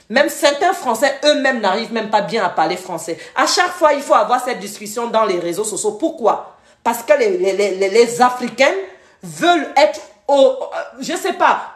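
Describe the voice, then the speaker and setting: Live-stream host, woman from Gabon; heated scolding rant, echoey room sound. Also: a little high. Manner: scolding, repetitive, switches to English briefly.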